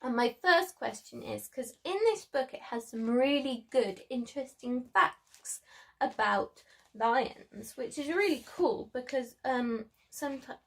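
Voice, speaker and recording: Slow, high-voiced woman preschool storyteller. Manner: animated emphasis, simple child-directed phrasing. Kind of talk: expressive children's story reading and talk.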